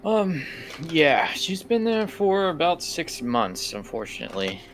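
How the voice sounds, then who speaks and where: A man's voice: fairly high pitch, very animated, a little slow. A man acting as game master, improvising in character for a tabletop role-playing game.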